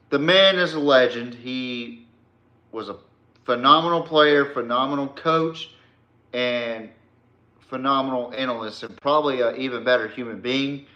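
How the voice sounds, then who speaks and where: A male podcast host with a bright, forceful voice at an ordinary male pitch. He takes his time, delivering casual, emphatic sports opinions to a live stream with the odd swear word.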